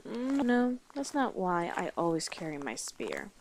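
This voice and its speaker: A woman's voice: lowish voice, big pitch swings, unhurried, slight background noise. A woman playing a brash, teasing female orc guide in a fantasy audio roleplay, with a blunt, sardonic manner. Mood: frank, reflective.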